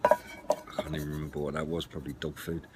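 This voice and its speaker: A man's voice: extremely low pitch, little pitch movement, brisk, darkish tone. A middle-aged man giving a casual, hesitant, explanatory walk-through of gear to the camera. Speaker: presenter of a CB radio and camping gear channel. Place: outdoors at a wooden garden table by a house. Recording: clear background noise, outdoor clatter of handled gear.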